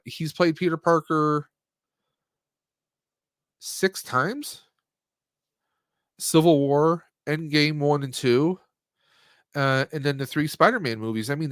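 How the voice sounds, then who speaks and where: A man's voice: on the high side, a bit bright, no room sound, studio quality. A man hosting a casual movie review stream, talking in a rambling, conversational way and often rephrasing himself. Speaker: podcast host.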